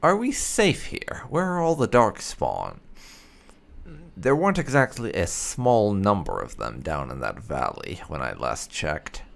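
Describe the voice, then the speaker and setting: A man's voice: low-pitched, wildly swooping pitch, unhurried, creaky, a bit bright, slight background noise. A man giving casual gameplay commentary and reading dialogue choices aloud, in a musing, understated, polite manner. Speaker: game streamer doing roleplay playthrough.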